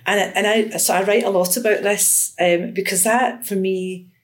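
A woman's voice: medium pitch, fairly even intonation, normal speed. A woman in her fifties from Scotland, an education researcher and lecturer, talking through her research in an interview with frequent restarts and fillers. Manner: thoughtful, many restarts and fillers. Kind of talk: conversational interview.

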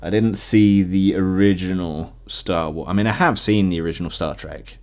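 A man's voice: sits low, steady tone, moderate pace, a little mellow. A young British man talking casually and informally on his own, rambling through memories as a podcast host with easy enthusiasm. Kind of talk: casual rambling solo reminiscing.

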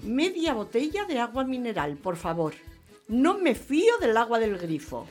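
A woman's voice: a little high, very animated, normal speed, darkish tone, faint music behind. An actress playing an imperious, gushing lady who dotes on her pampered dog, in an affected, theatrical radio drama manner. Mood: fussy.

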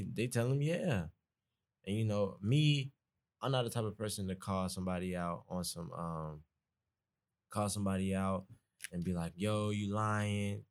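A young man from East Atlanta with a Southern Atlanta accent, a college student talking earnestly in a relaxed podcast chat. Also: fairly low pitch, vocal fry. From East Atlanta, Georgia. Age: young adult. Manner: relaxed, earnest, conversational, dialect grammar. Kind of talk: casual podcast conversation.

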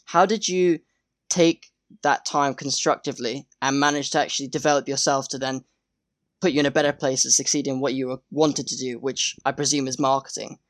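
A man's voice: medium pitch, fairly even intonation, a little fast, a bit bright, no room sound, studio quality. A young man, a student, hosting a podcast interview in a polite, careful way with hedging fillers.

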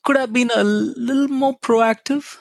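A man's voice: very high voice, steady tone, measured pace, creaky, no echo. A man from India whose first language is Tamil, speaking as a guest in a casual interview and often repeating words as he searches for them. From India, Chennai.

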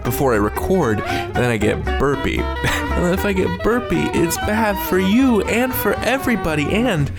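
A man's voice: high voice, sing-song, moderate pace, hardly any pauses, loud music behind the voice. A man hosting a podcast, chattering casually to his editor in a goofy, self-mocking way, slipping into silly voices. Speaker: podcast host.

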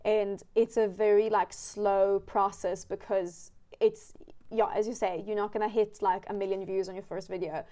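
A woman's voice: mid-range voice, level tone, normal speed, dark tone. Chatty Swedish woman hosting a podcast. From Sweden. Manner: chatty, many fillers and restarts. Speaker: podcast host. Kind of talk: casual chat.

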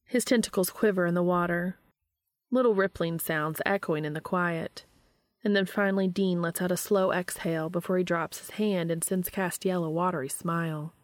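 Low-pitched woman narrating, dry close sound. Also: steady tone, normal speed, dry recording. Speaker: fan fiction reader and narrator. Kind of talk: expressive scripted story narration.

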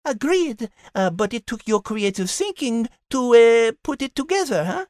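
A performer voicing a refined female cat who co-hosts the podcast, with a soft, gracious manner. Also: a little high, very animated, moderate pace, no echo, clean studio sound. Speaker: podcast co-host.

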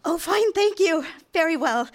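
A woman's voice: very high voice, expressive, unhurried, full character voice. A woman playing a nervous, smitten young office typist in a live radio drama, earnest and easily flustered. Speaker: actor. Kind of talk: live radio drama acting with confessional narration.

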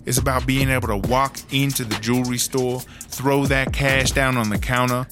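A man's voice: medium pitch, little pitch movement, moderate pace, lightly acted. A man playing a streetwise, long-serving woman prisoner who tells stories in slangy, sweary talk for a comedy audio drama. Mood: animated, gossipy. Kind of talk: casual first-person storytelling in a comedy audio drama. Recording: music under the voice.

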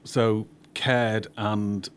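A man's voice: fairly low pitch, flat delivery, very slow, clean tone. A man hosting a film podcast, talking to guests and to listeners. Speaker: podcast host and producer. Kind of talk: Q&A chat and scripted narration.

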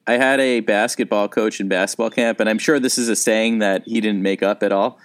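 A man's voice: lowish voice, fairly even intonation, brisk. A man in his thirties, a comedian co-hosting a podcast, talking casually and thinking aloud with frequent restarts.

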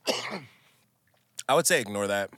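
Brief: young male podcast host, deep low voice, laid-back slang, dry close mic. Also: slow pace, dry recording. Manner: relaxed slang, says "bro" constantly. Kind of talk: casual podcast chat.

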